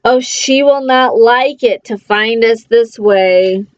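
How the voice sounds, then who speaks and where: A woman's voice: on the high side, slow pace, lo-fi sound, a little hiss or hum. A woman voicing a fussy, anxious pet fish in a children's storybook, scolding in a fretful, emphatic way. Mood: alarmed, urgent.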